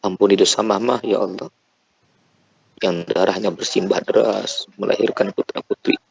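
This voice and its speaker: Low gravelly guy leading a heartfelt prayer, pleading over and over, kinda dull muffled sound. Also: fairly even intonation, moderate pace, no echo. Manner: fervent, pleading, repeated invocations. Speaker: prayer leader. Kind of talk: emotional congregational prayer.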